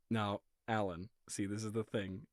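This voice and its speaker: A man's voice: lowish voice, no echo, studio quality. A man playing a flustered, awkward restaurant boss in a comedy sketch, stammering, hedging and repeating himself. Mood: awkwardly impressed. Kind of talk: improvised comedy sketch acting.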